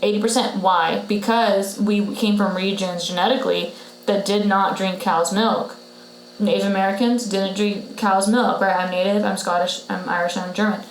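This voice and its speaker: A woman's voice: mid-range voice, steady tone, normal speed. Woman, podcast co-host, blunt, emphatic, repeats points, echoey room, faint background noise. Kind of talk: casual health advice chat. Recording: roomy echo with faint noise.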